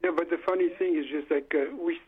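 A man's voice: fairly high pitch, fairly even intonation, fast, husky. A man, a musician and bandleader whose first language is Lingala, talking casually and a little hesitantly on a phone-in radio show. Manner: hesitant, friendly, restarts often. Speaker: musician, band leader. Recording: tinny phone line.

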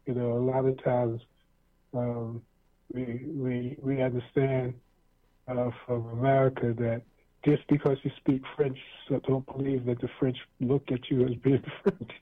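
A man's voice: medium pitch, level tone, a little slow. A man hosting a radio talk show, chatting in a rambling, easygoing way with lots of fillers and restarts. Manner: rambling, many fillers and restarts, chuckles often. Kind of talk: casual interview chat. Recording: tinny lo-fi radio sound.

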